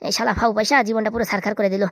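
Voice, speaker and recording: A man acts out a troubled village husband in a comedy cartoon, full of regret. The voice is high and races along in a dry recording. He grumbles, rambles and scolds himself like a drinker with too many worries. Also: level tone, very fast, a bit bright, no room sound. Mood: remorseful, self-pitying. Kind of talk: comedy cartoon dialect acting.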